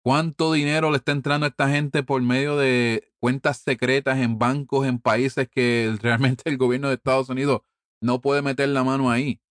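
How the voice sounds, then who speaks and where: A man's voice: mid-range voice, level tone, moderate pace, no echo, studio quality. A middle-aged man from Puerto Rico with a Puerto Rican Spanish accent, delivering a heated, profane political monologue full of rhetorical questions.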